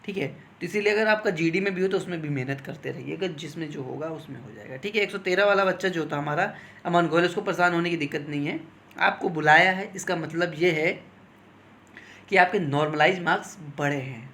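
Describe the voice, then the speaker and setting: Higher-pitched man explaining informally. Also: brisk, a bit bright, slight background noise. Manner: instructive, repeatedly checking in with listeners. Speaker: exam coaching teacher advising candidates. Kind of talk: informal explanatory talk on exam cut-offs.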